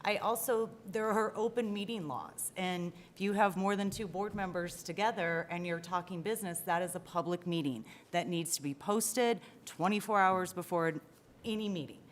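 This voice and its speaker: A woman's voice: fairly low pitch, moderate pace, slight background noise. A woman answering as a school board candidate at a forum, blunt and emphatic. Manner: blunt, declarative.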